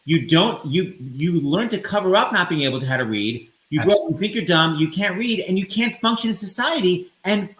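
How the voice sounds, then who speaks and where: Middle aged guy interviewer, highish lively voice, emphatic, talks like he really means it. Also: a little fast, hardly any pauses. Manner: emphatic, earnest. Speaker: interviewer, co-host. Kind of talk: conversational interviewing. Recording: echoey room.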